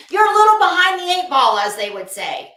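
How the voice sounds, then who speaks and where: A woman's voice: very high-pitched, very animated, moderate pace, sharp tone, roomy sound, a little hiss or hum. A middle-aged woman hosting a casual livestream, chatting in a rambling, gossipy and sarcastic way. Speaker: livestream host. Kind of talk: casual livestream commentary chat.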